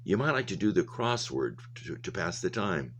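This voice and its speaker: An earnest, shy young girl in a storybook, read by a man, voiced very low. The delivery is quiet and tentative. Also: sing-song, normal speed, slight background noise. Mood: shyly offering. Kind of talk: storybook read-aloud dialogue.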